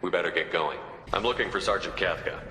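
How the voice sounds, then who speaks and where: A man's voice: deep voice, quick pace, background noise, natural voice. An actor playing a tough, no-nonsense soldier hero in a video game, cool and terse in his questioning. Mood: probing, steady. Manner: terse, probing. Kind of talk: video game character acting. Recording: echoey hall reverb, music behind.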